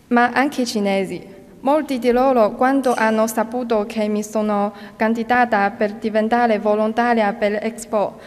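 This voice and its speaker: A young woman from China, a student volunteer carefully reading a prepared statement at a podium. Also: on the high side. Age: young adult. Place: large ornate state hall. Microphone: podium microphones. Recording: long hall reverb.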